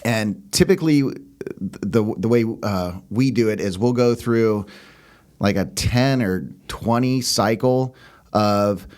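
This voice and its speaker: A man with a somewhat low voice speaks as a podcast co-host. He explains things conversationally, in a loose and slightly halting manner. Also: darkish tone. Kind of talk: casual chat, explaining.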